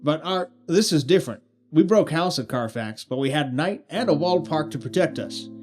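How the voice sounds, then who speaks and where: Casting: man to play a blunt, folksy adventurer in audio drama, doubtful and slangy, pitched on the high side, over music. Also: lively intonation, moderate pace, a little mellow, lightly acted. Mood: doubtful, pressing.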